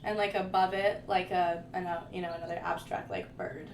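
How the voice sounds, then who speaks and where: A woman's voice: lowish voice, fairly even intonation, brisk. A young woman vlogger chatting casually and rambling, with lots of "like" and informal slang. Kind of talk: casual chat. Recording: echoey room, mic at a distance, faint noise.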